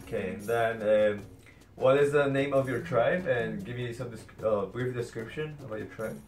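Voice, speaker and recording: A man's voice: mid-range voice, moderate pace. A man in his twenties casually interviewing people as a host. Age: 20s. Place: small indoor room. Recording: roomy, distant mic, music underneath.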